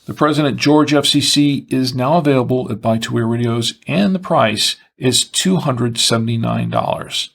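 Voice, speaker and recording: A man presenting a semi-scripted product review, explaining and listing features in measured, short phrases. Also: mid-range voice, creaky, a bit bright.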